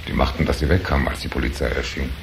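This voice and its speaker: An actor playing a deferential club attendant in a German radio drama, speaking in a formal, servile, brisk manner. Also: male voice, rumbling low. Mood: polite, deferential. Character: deferential male club attendant, gruff but polite. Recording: clearly noisy background ambience.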